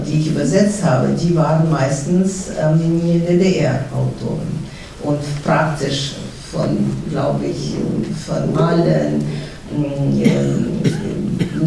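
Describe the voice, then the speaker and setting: Middle-aged russian woman, halting slow speech, mellow tone, faint hiss behind. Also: low voice, vocal fry. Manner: halting, many fillers and restarts. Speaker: guest author. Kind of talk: panel talk. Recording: echoey hall from far off, faint noise.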